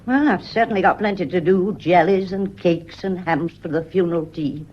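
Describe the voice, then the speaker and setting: A woman's voice: lowish voice, moderate pace, muffled recording, natural voice. A woman playing a devoted, nosy housemaid in a period radio drama, deferential yet pointed and probing. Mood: mournful, proud. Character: devoted, nosy, grieving housemaid, prim and sharp-tongued.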